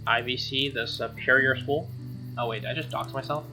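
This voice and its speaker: A woman's voice: very deep voice, steady tone, brisk, loud music behind the voice. A woman streaming a visual novel playthrough, reading narration aloud and dropping in casual, slangy, sweary commentary.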